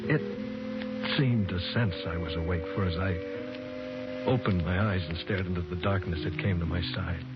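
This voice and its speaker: A man's voice: low-pitched, moderate pace, lightly acted. An actor playing a shaken, wounded man who narrates his ordeal in urgent, dramatic 1940s radio style. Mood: tense. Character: shaken, wounded grown man, intense storyteller. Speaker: first-person narrator. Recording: dull old radio recording with loud music.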